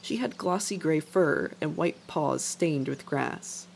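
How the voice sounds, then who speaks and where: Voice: medium pitch, slow pace, gravelly, a little hiss or hum. A narrator reading an animal adventure story as scripted narration. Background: faint background music.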